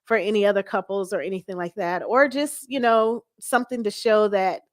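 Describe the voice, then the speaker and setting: A woman in her forties talks as a guest in a casual interview. She is candid and conversational, telling things in an animated way. The sound is dry, with very little room around the voice. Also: mid-range voice, a little fast, no echo. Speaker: talk show guest.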